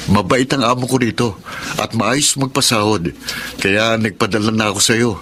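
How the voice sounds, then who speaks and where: A man's voice: lowish voice, steady tone, normal speed, sharp tone, faint music behind. A man with a Filipino accent playing a humble, polite baker husband in a radio drama, deferential and a little hesitant. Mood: affectionate.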